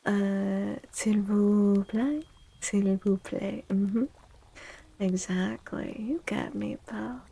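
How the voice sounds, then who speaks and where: A woman speaking in soft, hushed, breathy ASMR style, often slipping into whispers, chatting playfully with livestream viewers. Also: medium pitch, steady tone, extremely slow. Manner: playful, teasing. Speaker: ASMR streamer and tarot reader.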